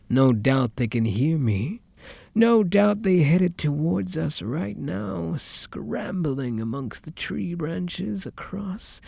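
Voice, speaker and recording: Man acting as vampire, predatory yet devoted, theatrical ASMR roleplay, breathy, dark voice, close dry sound. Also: on the high side, no echo, heavily acted. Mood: taunting, menacing. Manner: theatrical, drawn-out endearments, breathy whispers. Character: hungry male vampire predator, taunting yet devoted lover.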